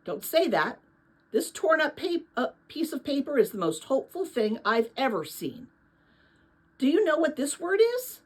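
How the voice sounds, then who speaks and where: A woman's voice: sits high, big pitch swings, moderate pace, voice pushed up. A woman voicing a kindly, thoughtful adult woman in a storybook, earnest and reflective, with sadness and hope. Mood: hopeful, urgent.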